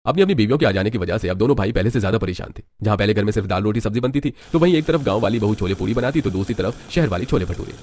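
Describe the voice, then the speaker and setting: A man's voice: fairly low pitch, animated, racing, few pauses, darkish tone, a little hiss or hum. A man narrating a scripted bedtime story as a storyteller. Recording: music behind the voice. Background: background music.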